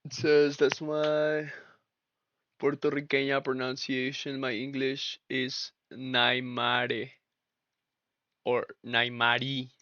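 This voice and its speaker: A man's voice: medium pitch, little pitch movement, slow, pushed and bright, dry recording, lo-fi sound. A young man hosting a casual trading live stream, laid-back and slangy, talking to his chat. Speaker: livestream trader and host.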